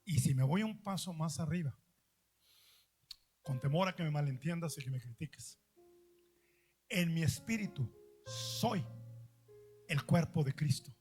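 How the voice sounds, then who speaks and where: A man preaching with a high, ringing voice, pressing his points by repeating them and asking his audience questions. He swings from loud emphatic lines to slow, drawn-out quiet words. Faint instrumental music plays softly behind him. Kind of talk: sermon.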